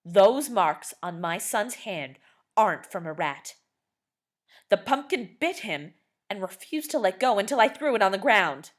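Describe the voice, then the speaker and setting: A woman's voice: medium pitch, expressive, unhurried, pitched above the performer's own voice. A woman voicing an angry neighbor man who bellows and accuses in a storybook reading. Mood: furious. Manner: shouting, indignant.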